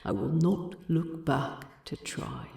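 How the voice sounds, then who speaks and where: A brooding male dwarf from a fantasy audiobook, acted by a woman, speaking low with heavy creak. He is slow, solemn and quietly tender, with a mellow, dark tone. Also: huge pitch swings, echoey room, exaggerated voice. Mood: quietly resolved.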